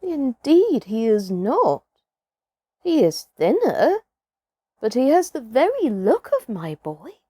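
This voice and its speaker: A pitying village woman from a children's storybook, performed by a woman reader. She fusses and exclaims tenderly, pitched high with animated swoops. The recording is dry and close. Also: takes it slowly, husky, no echo, full character voice. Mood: wistful, searching. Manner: exclamatory, fussing. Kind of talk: children's storybook character reading.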